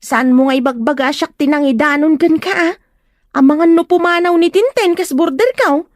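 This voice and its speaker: A woman's voice: sits high, normal speed, dry recording, studio quality, lightly acted. A woman with a Filipino accent playing a gossipy, prying neighbour in an audio drama. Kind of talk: Ilocano audio drama acting.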